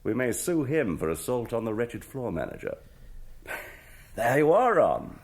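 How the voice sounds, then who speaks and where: An actor playing a mischievous, chummy TV host with a husky, gravelly voice in a British radio drama. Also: male voice, mid-range voice, big pitch swings, a little slow, slight background noise. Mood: gleeful, confiding. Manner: chummy, upper-class old-boy slang. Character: mischievous, jovial English TV host, gleefully scheming.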